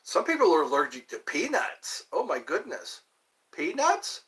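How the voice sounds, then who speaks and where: A man's voice: high-pitched, huge pitch swings, a little slow, a bit bright. Rambling, joking man in his fifties. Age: 50s. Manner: rambling, joking, self-deprecating. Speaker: livestream host. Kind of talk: casual chat answering viewers.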